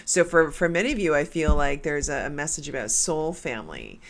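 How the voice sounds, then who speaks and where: A woman with a notably low voice does a relaxed spiritual card reading. She is stuffed up from a cold and wanders through her thoughts, restarting her sentences often. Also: fairly quick, vocal fry. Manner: meandering, with many restarts and fillers. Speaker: tarot card reader.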